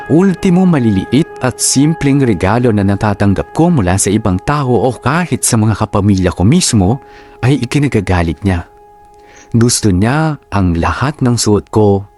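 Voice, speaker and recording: A man narrating a wife's aggrieved confession for a radio drama, in a measured, deliberate manner. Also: on the low side, a little mellow, close to an everyday voice. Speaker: narrator reading a letter-writer's story.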